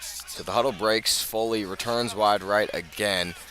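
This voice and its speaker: A man with an American accent giving live play-by-play football commentary for radio, measured when setting the scene and urgent as the action builds. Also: lowish voice, steady tone, bright, forceful tone. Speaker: play-by-play sports announcer.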